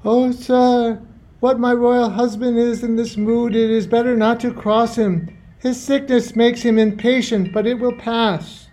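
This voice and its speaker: A man's voice: very high voice, little pitch movement, measured pace, a little mellow. A man playing a gracious, soothing queen in a radio play, polite and placating. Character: gracious, soothing queen, wife of King Herod.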